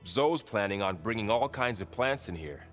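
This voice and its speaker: An actor playing a kind, easygoing young soldier in a video game, talking in a gentle, casual and thoughtful way. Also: male voice, fairly low pitch, lively intonation, moderate pace, faint music behind. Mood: wry, amused. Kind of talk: video game cutscene acting.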